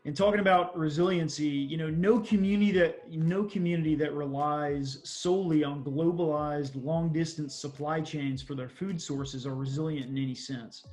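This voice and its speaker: Texan man presenting, higher-pitched voice. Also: steady tone, moderate pace, hardly any pauses, darkish tone, faint music behind. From small town Texas, USA. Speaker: development manager presenting on a panel. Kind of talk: semi-formal online presentation.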